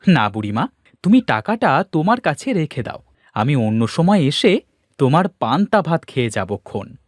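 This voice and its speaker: A man's voice: fairly high pitch, normal speed, no room sound, studio quality. A man voicing a polite, helpful, hardworking village lad in a cartoon. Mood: kindly protesting. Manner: obliging, expressive.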